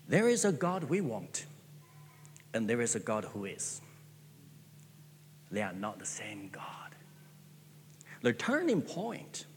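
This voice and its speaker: A man's voice: fairly high pitch, a bit bright, breathy edge, big-room sound. A man whose first language is Chinese, preaching a sermon as a pastor in an earnest way, repeating phrases with stammering restarts.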